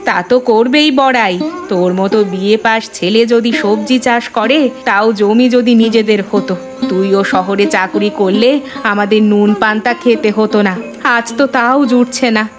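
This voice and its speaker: A woman acting a plaintive high-voiced village mother. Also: fairly even intonation, unhurried, lightly acted. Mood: bitter, sad. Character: sorrowful, resolute elderly village mother of a grown son. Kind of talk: melodramatic cartoon dialogue. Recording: music behind the voice. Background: background music.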